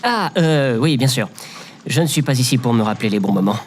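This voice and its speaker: A man's voice: mid-range voice, expressive, fairly quick, a little hiss or hum, natural voice. A man playing a bitter, sarcastic space station operator in an audio comedy, with a dry, weary manner. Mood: resentful. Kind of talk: scripted audio comedy fiction acting.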